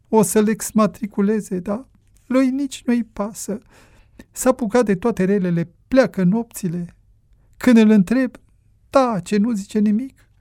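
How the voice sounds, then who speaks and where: A narrator voicing a worried, sorrowful elderly mother who laments softly and plaintively. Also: medium pitch, no echo, studio quality, pitched above the performer's own voice, lightly acted.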